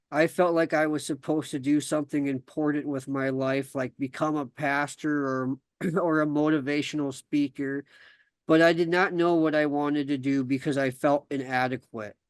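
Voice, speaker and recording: A young man from South Dakota hosts a podcast and shares his own story. His voice sits fairly high and sounds smooth, and he often pauses, fills gaps and restarts his sentences. The recording is close and dry. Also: fairly even intonation, no echo. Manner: halting, many fillers and restarts. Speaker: podcast host. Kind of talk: casual chat, then reading a personal story.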